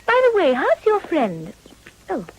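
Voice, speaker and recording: Eager young upper-class lady for period drama, high and expressive, courteous and formal. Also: unhurried, muffled recording, slight background noise, heavily acted. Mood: polite, warm. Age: young adult. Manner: polite, genteel, formal.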